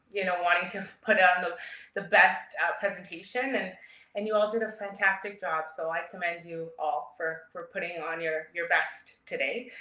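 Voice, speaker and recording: Woman doing a chatty keynote, candid personal stories, bright clear-cut voice, bit echoey. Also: medium pitch. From grew up in Edmonton, Canada; born in El Salvador. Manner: candid, chatty, often chuckling. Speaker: keynote speaker, startup founder. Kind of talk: informal keynote speech with personal storytelling. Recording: roomy, echoing sound.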